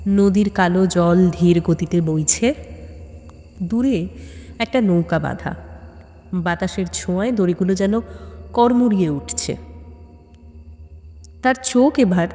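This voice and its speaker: A woman narrates a detective story in a suspenseful, deliberate way with dramatic pauses. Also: lowish voice, darkish tone. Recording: long cavernous reverb on the voice.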